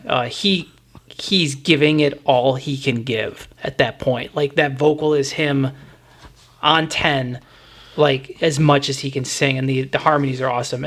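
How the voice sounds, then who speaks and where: A man's voice: mid-range voice, fairly bright tone. Enthusiastic man talking music. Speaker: music podcast co-host. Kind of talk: casual music discussion.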